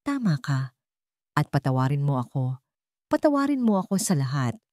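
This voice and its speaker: A woman's voice: deep voice, sing-song, normal speed, a little mellow, dry recording, studio quality, voice pushed down. A Filipino woman voicing a remorseful, wealthy young father in a storybook drama, earnest and imploring.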